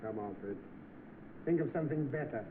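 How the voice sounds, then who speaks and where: An actor playing a smug, scheming swindler, suave and bossy in 1930s film style. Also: male voice, medium pitch, lively intonation, a little fast, close to an everyday voice. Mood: threatening, demanding. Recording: noisy, tinny old film soundtrack.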